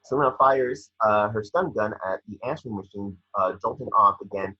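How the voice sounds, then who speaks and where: A man's voice: lowish voice, moderate pace, slightly rough. A man reading scripted scene descriptions as narrator, stumbling now and then. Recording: echoey, muffled home microphone.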